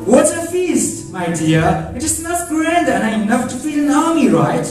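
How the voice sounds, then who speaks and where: A woman's voice: high voice, sing-song, a little slow. A woman playing a devoted, affectionate father in an amateur radio fairy tale, with theatrical delivery. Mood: hearty, doting. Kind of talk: amateur radio drama acting. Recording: echoey hall, distant mic, faint music.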